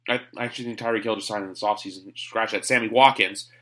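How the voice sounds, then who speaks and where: A man's voice: fairly low pitch, steady tone, fast, fairly bright tone. A man hosting a sports podcast in casual chat, rambling and opinionated. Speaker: podcast host.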